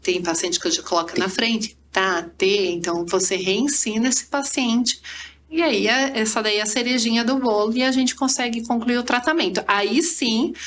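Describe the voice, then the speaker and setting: A woman's voice: a little high, normal speed, a bit bright. Woman explaining, with noticeable background noise. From Brazil. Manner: didactic, with frequent tag questions and diminutives. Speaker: guest speech therapist. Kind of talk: conversational explanation on a podcast.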